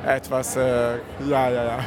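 A man's voice: medium pitch, completely flat delivery, unhurried. A man from Italy with an Italian accent, an art student answering interview questions, hesitant and often restarting his phrases. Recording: busy crowd in the background.

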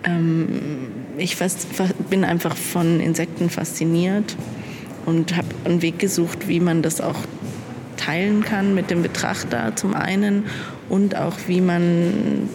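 A woman's voice: on the low side, steady tone, slow pace. Woman explaining her art in a reverberant room. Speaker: art graduate presenting her work. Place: exhibition room indoors. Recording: long reverb with audible background noise.

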